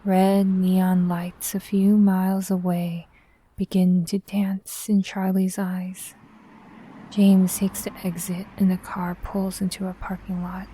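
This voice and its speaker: A woman narrating a scripted audio drama in a measured, suspenseful storytelling manner. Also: medium pitch, monotone, mellow, husky, poor audio. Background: faint music.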